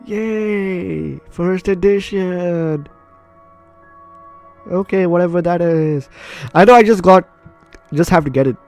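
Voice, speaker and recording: A man's voice: high voice, takes it slowly, long pauses, a little mellow, soft background music. A man doing a let's play, reading a visual novel aloud and breaking off into playful, chatty asides. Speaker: gaming YouTuber. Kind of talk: let's play, reading game text aloud with casual reactions.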